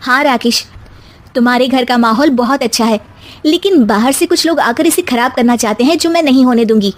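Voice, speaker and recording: A woman's voice: fairly high pitch, a little fast, close to an everyday voice. A woman voicing a young newlywed daughter-in-law in a family tale, polite but pointed and firm. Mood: firm, indignant.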